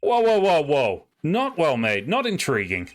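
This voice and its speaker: A man's voice: fairly high pitch, wildly swooping pitch, unhurried. A man voicing a sardonic male defense lawyer with pointed, theatrical questioning in a live game fan dub. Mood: exasperated, wry.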